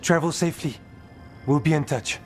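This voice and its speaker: An actor playing a cautious, thoughtful male warden in a fantasy video game, earnest and measured. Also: on the high side, fairly even intonation, over music, lightly acted.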